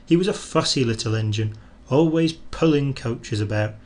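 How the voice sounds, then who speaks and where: A man reading a children's train story aloud in an expressive, animated storyteller's manner. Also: mid-range voice, moderate pace.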